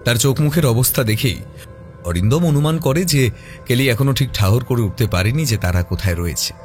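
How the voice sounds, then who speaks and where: Male audio-story narrator, measured and dramatic, mid-pitched with lively intonation, horror mystery read. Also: faint music behind.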